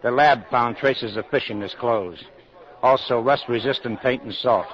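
A man's voice: mid-range voice, normal speed, natural voice. Dutiful police lieutenant played by a man, vintage radio. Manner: deferential, matter-of-fact reporting. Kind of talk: 1950s radio drama acting. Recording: dull vintage 1950s radio recording.

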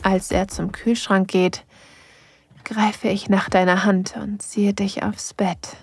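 A woman's voice: mid-range voice, little pitch movement, no room sound, exaggerated voice. An actress playing a playful, sensual woman on holiday, narrating intimately in an audio drama and slipping into whispers. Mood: sensual, hushed. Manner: breathy, slow, sensual delivery slipping into whispers.

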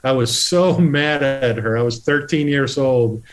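Middle-aged guest, mid-pitched, earnest storyteller with restarts. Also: male voice, measured pace. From Puerto Rico. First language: Spanish. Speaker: guest, political candidate. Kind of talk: conversational interview.

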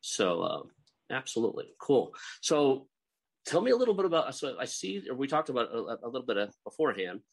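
A man's voice: medium pitch, big pitch swings, fairly quick, gravelly, slightly rough. A middle-aged man from Oklahoma with a light Oklahoma accent, hosting a casual interview podcast and rambling with chuckles and fillers. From Oklahoma, USA. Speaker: podcast host.

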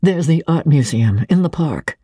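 An ardent young society gentleman in a period novel, voiced by a woman, pressing his case in a low, urgent way. The voice is very husky and mellow, recorded close and dry. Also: animated, normal speed, no echo. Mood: explaining, pressing. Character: ardent, insistent young gentleman lover of old New York.

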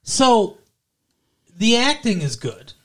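A man's voice: very high-pitched, big pitch swings, slow, a bit bright. A man co-hosting a podcast, casually talking through a film's plot and rambling with frequent restarts. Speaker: podcast co-host. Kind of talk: casual movie review chat.

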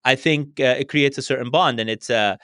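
A man's voice: mid-range voice, brisk, pushed and bright, smooth, dry recording, clean studio sound. A man from Syria whose native language is Arabic, answering interview questions conversationally and repeating words as he thinks. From Syria, raised partly in Spain. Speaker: interview guest.

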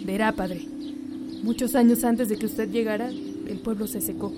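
A woman's voice: fairly high pitch, fairly even intonation, normal speed, noisy background, lightly acted. An actress playing an earnest, fearful village woman in a radio drama.

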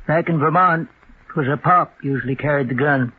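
An actor playing a laconic rustic night elevator man with a rural New England accent, terse and deadpan, in a 1950s radio drama. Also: on the high side, moderate pace, slightly rough. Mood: deadpan, wary. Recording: tinny, hissy 1950s radio recording.

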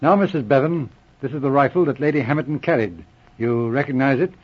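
An actor playing a calm, shrewd Mounted Police inspector, methodical, assured and probing, in 1940s radio drama style. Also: male voice, mid-range voice, a little fast, breathy edge, lightly acted. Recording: muffled old radio recording.

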